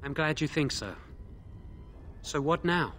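An actor playing a remorseful, timid imprisoned mage in a video game, speaking in a hesitant, earnest way. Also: male voice, a little high, animated, a little slow, a bit bright, slight background noise. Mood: remorseful, pleading.

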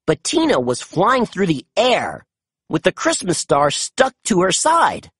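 A narrator reading a children's story aloud in an expressive storytelling manner. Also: mid-range voice, unhurried, slightly rough, no echo, clean studio sound.

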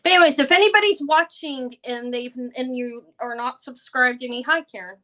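Loud, bright-voiced woman hosting a live sale. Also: high voice, moderate pace. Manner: chatty, rambling, loud voice. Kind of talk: casual live-stream chat greeting viewers.

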